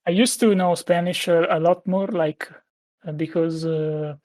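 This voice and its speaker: A man's voice: on the high side, steady tone, unhurried, darkish tone, no echo. Hesitant man, dry sound. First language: Italian. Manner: hesitant, full of "uh" fillers. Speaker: podcast guest. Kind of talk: casual chat.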